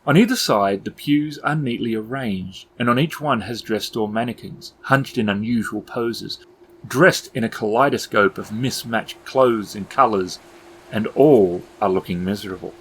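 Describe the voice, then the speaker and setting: A man with a low voice narrates a tabletop game as its storyteller. His delivery is measured and descriptive, with literary phrasing, over ambient background noise. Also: fairly bright tone.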